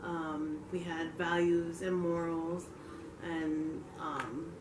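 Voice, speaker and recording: Woman from Hawaii, reflective interviewee, lowish voice, echoey room with the mic set back. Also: fairly even intonation, extremely slow, far from the mic, noisy background. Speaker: community leader interviewee.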